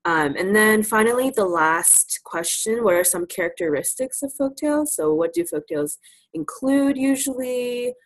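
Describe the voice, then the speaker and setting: A woman's voice: on the high side, lively intonation, moderate pace. A woman presenting an informal, interactive lesson to a class, encouraging and affirming as she teaches. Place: live virtual presentation.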